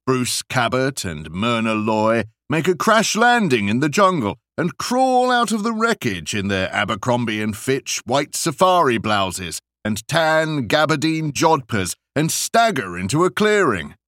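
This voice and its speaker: A man narrating literary essays as an audiobook, with expressive, deliberate and theatrical phrasing. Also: medium pitch, no room sound, clean studio sound.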